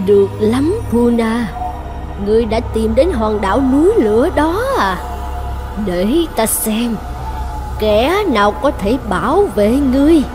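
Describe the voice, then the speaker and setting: A woman voicing a proud young dragon goddess in an animated fairy tale, with theatrical, clear enunciation. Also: on the high side, measured pace, over music. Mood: betrayed, vengeful. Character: proud young dragon goddess, devoted then vengeful wife.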